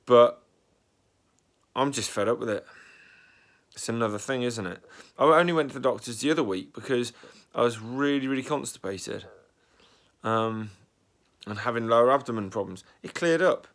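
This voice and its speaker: A young man talking anxiously in a casual vlog, congested and a little raspy from a cold, clearing his throat often. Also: medium pitch, moderate pace, a bit bright. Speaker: vlogger.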